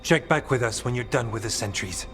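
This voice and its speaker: An actor playing a gentle, earnest warden in a video game, with a halting, thoughtful delivery. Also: male voice, medium pitch, soft background music, lightly acted. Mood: warm, encouraging. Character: gentle, earnest male warden, uneasily attuned to eerie evil. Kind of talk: dramatic video game acting.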